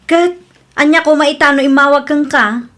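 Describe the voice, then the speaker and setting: An actress plays a defiant wife working abroad in an Ilocano family drama. Her voice is high, and she talks in a curt, uncaring way with an Ilocano accent. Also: normal speed, fairly bright tone. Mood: indifferent. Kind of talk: Ilocano audio comedy-drama acting.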